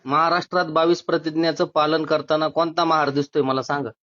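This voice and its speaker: A man's voice: fairly high pitch, level tone, brisk, bright, forceful tone. A man in a phone debate, persistently challenging the other person. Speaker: caller challenging an activist. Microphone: phone call. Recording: dull lo-fi phone call recording.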